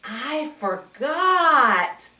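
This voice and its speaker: Woman putting on a whiny, high-pitched little boy. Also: big pitch swings, pushed and bright, full character voice. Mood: sheepish excuse. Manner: whiny, drawn-out pleading. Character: naughty young boy making whiny excuses. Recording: echoey room, distant mic.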